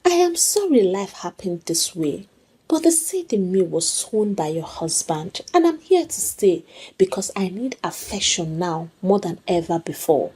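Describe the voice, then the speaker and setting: A woman storyteller puts on a young pregnant mistress for a folktale. She is brazen and utterly sure of herself. Her pitch moves in lively swoops over a husky tone. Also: measured pace, exaggerated voice. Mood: smug, confident. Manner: brazen, oozing confidence.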